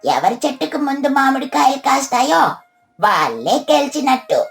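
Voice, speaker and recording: Young cartoon parrot boy, played by a woman: earnest, friendly, high voice, music underneath. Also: very animated, normal speed, creaky, exaggerated voice. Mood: playful challenge. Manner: childlike, earnest.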